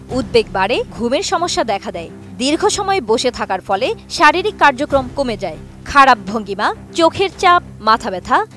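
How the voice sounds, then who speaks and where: A woman reporter with a Bangladeshi accent reads a scripted news report. Her voice is high and moves through an animated range of pitch, with faint music underneath.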